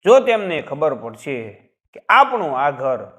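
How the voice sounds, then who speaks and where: A man's voice: a little high, big pitch swings, slow, fairly bright tone, heavily acted. A man voicing a worried, secretive married man in a story, speaking in an urgent, anxious, heavy way. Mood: anxious, hushing.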